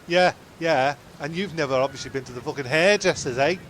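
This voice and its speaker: A man's voice: fairly high pitch, brisk, a bit bright, a little hiss or hum, close to an everyday voice. A man voicing an irritable castaway who snaps and swears. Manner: snappy, swearing.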